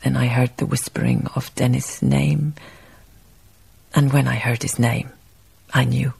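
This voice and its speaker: Sad dignified lady memoirist, radio drama, breathy husky voice, some fry in it. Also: rumbling low, level tone, unhurried, darkish tone, full character voice. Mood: grave, grieving. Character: grieving woman memoirist, wistful, dignified storyteller. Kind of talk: radio drama acting, reflective narration.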